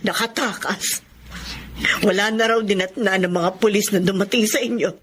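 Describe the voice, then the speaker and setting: A woman's voice: mid-range voice, steady tone, moderate pace, a bit bright, a little hiss or hum, close to an everyday voice. A woman acting frank, protective Filipina aunt. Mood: indignant. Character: protective middle-aged aunt, caring, indignant elder sister. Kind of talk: Filipino radio drama acting.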